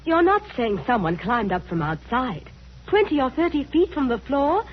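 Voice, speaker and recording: A woman's voice: sits high, big pitch swings, normal speed. An actress playing an anxious, horrified young lady in an old radio mystery, agitated and faltering. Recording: muffled, slightly noisy vintage radio recording.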